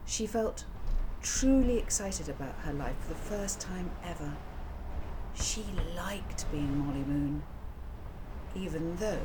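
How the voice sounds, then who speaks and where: Slow-paced woman storyteller with background noise. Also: on the low side, lively intonation. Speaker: author reading her own children's novel. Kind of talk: audiobook storytelling narration.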